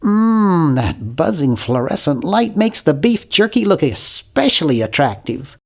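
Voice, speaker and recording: A man's voice: on the high side, very animated, measured pace, vocal fry, darkish tone, exaggerated voice. A man voicing a nerdy, timid young adventure-game hero, bookish and polite, with a nasal voice and an awkward, earnest, fussy manner. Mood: hesitant, observant. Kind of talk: video game character voice lines.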